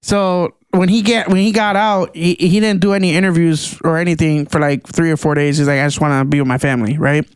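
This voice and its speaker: A young man co-hosting a casual podcast chat, excitable and slangy, calling people "bro" a lot. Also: on the high side, brisk, few pauses, clean tone, no echo. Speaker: podcast co-host.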